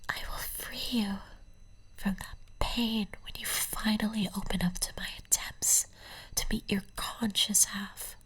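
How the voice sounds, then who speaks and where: A woman playing an obsessive, possessive stalker in a whispered ASMR roleplay, speaking in a breathy, intimate way with tender menace. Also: medium pitch, fairly even intonation, fairly bright tone, low-quality recording. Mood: possessive, patronizing. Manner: slow, intimate, cooing endearments.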